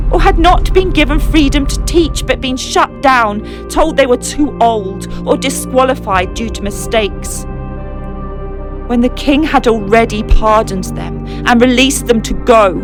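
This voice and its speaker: A woman reading a scripted story as narrator, in an earnest, dramatic manner with deliberate pauses. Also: fairly high pitch. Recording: music playing behind the voice. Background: music.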